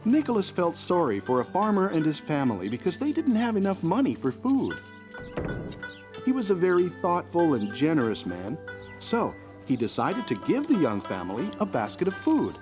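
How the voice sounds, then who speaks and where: Gentle cartoon dad, soothing n patient, voice swoops a lot. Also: male voice, fairly high pitch, wildly swooping pitch, moderate pace, music in the background. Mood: storytelling. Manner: soothing, encouraging. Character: gentle, patient young father at bedtime. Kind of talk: children's cartoon acting, storybook telling.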